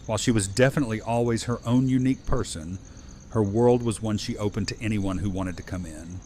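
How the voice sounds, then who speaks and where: A man playing a gentle, sincere friend in an audio drama. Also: on the low side, a little fast, a little hiss or hum, natural voice. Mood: tender, sincere. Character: Aaron, gentle, sincere man giving wedding toast. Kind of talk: fan audio drama acting.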